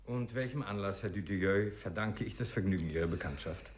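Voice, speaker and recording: Man playing an urbane, lowish-voiced, inquisitive doctor. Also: a little fast, faint music behind, lightly acted. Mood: courteous, suave. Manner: courteous, probing, slightly pompous. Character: urbane, inquisitive male doctor, genial and self-assured. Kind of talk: 1950s German radio play acting. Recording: 1950s radio play recording.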